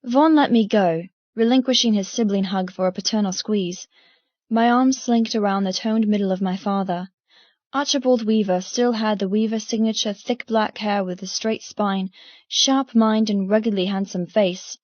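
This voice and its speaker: A woman's voice: mid-range voice, steady tone, moderate pace, darkish tone, no room sound, muffled recording. A woman reading first-person dramatic fiction as an audiobook narrator.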